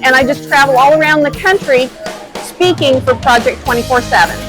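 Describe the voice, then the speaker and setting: A woman's voice: high-pitched, brisk, low-quality recording. A woman from the Czech Republic, a travel adventurer and speaker, answering interview questions in an open, earnest way. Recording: music playing underneath.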